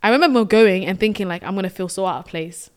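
A young woman with a British accent tells stories in easy podcast chat. She talks in an animated way and breaks into laughter often. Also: mid-range voice, fast, clear, resonant tone. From Sweden. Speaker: podcast co-host. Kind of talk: casual chat.

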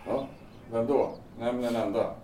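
A man's voice: medium pitch, fairly even intonation, dark tone, natural voice. A man acting in an audio drama as a despairing, guilt-ridden man, agitated and bitter. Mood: desperate, challenging. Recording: roomy, distant, noisy amateur-sounding recording.

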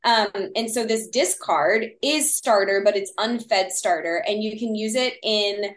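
A woman with a Texas accent who talks quickly and explains with many fillers. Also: mid-range voice, reverberant. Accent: Texan. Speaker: baking guest. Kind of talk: casual podcast chat.

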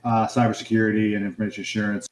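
A man in his thirties calling in to a live show, chatting quickly and casually, his voice often trailing off at the ends of phrases. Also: lowish voice, level tone. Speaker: caller. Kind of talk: casual call-in chat.

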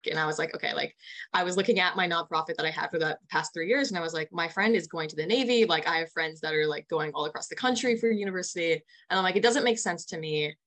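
Chatty young American woman, lowish pitch. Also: quick pace, a bit bright. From United States. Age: early 20s. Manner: enthusiastic, chatty, frequent "like". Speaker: interview guest, young nonprofit founder. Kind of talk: casual spoken interview answers.

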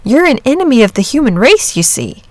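Lady doing a practical little storybook boy, frank n earnest, bit higher voice, no room sound. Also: very animated, a little fast, a little mellow, no echo. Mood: polite, candid. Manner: blunt but polite, earnest. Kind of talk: storybook character reading.

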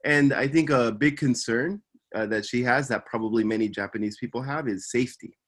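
Mężczyzna, swobodny prowadzący podcastu. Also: male voice, mid-range voice, brisk. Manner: relaxed, hesitant with fillers. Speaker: podcast host. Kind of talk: casual interview chat. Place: Japan.

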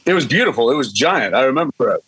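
A man's voice: mid-range voice, big pitch swings, quick pace, fairly bright tone, husky, no room sound, poor audio. A man, a band singer, telling stories in a laid-back, easygoing way as a guest on a video call. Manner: laid-back, easygoing storyteller, casual swearing. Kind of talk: casual video-call interview.

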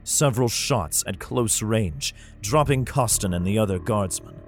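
An actor playing a grim, weary soldier who narrates his ordeal in an audio drama, grave and measured. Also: fairly low pitch, animated, faint music behind. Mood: strained, grave.

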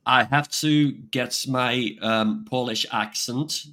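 A man's voice: mid-range voice, slow pace, pushed and bright. An older man hosting a casual livestream chat over a video call, rambling with frequent restarts. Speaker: online vegan channel host.

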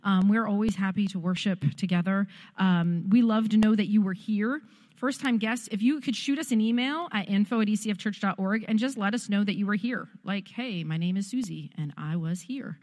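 A woman's voice: mid-range voice, fairly quick. Woman reading church announcements, loose chatty delivery, long church-hall reverb. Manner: chatty, warm filler-laden delivery with many ums. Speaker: church staff giving announcements. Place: church sanctuary.

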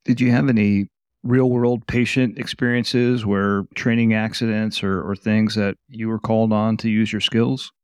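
A man with a low, smooth and resonant voice co-hosts an interview podcast. He asks his questions in a flat, plain delivery with little rise and fall. The sound is dry and close. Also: normal speed, no echo. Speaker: podcast co-host.

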